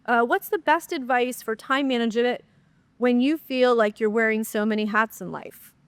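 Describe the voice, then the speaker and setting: A woman podcast host chats away on her own show, her voice slightly high and stuffed up from a cold. She talks upbeat and casual, full of fillers. The close mic sounds dry, with no room around her. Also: moderate pace, clear, resonant tone, dry recording. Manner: upbeat, chatty, many fillers. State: congested, nasal from a cold. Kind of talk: solo podcast Q&A, casual chat.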